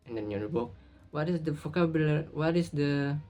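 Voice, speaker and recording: A man with an Indonesian accent and Indonesian as his first language, talking to camera in a casual vlog, halting and often correcting himself mid-sentence. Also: on the high side, animated, warm tone, faint music behind. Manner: halting, frequent restarts and self-corrections. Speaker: YouTuber.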